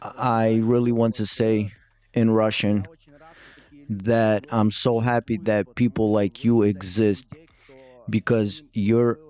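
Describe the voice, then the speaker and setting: Earnest podcast host. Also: male voice, lowish voice, mellow. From Western Ukraine. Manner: earnest, heartfelt, speaks in sweeping moral statements. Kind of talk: conversational interview.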